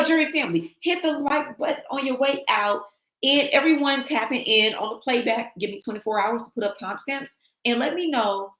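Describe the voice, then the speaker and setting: A woman with a slightly raspy, travel-worn voice hosts a casual livestream and chats with viewers. She talks in an animated way and reads their comments aloud. The sound is echoey, as if recorded in a bare room. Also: mid-range voice. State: losing her voice from travel. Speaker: livestream host. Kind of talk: casual livestream sign-off chat.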